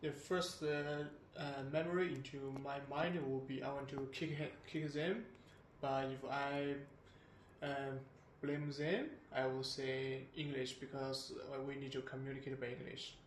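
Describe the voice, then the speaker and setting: Flat-voiced man in an echoey room. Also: mid-range voice, measured pace. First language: Chinese. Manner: hesitant, many fillers, flat delivery. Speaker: interviewee. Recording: roomy indoor echo, faint hum.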